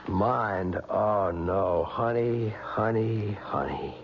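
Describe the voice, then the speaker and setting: A man's voice: fairly low pitch, drawn-out words, vocal fry, background noise, full character voice. An actor playing an eager, effusive husband and new father in an old radio drama. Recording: dull old radio broadcast recording.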